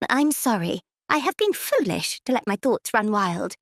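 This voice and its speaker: A woman's voice: medium pitch, sing-song, moderate pace, fairly bright tone, no room sound, studio quality, voice pushed up. A woman voicing a young, gossipy lady's maid, earnest and incredulous, in an audiobook drama. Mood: apologetic. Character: anxious young lady's maid, naive busybody, deferential. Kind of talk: audiobook character acting.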